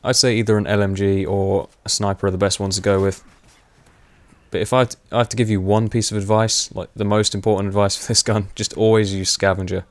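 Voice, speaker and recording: A young man giving casual, rambling commentary over gameplay, with many fillers and frequent laughs, his voice getting hoarse. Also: lowish voice, little pitch movement, brisk, dry recording. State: voice going hoarse. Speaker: gaming commentator.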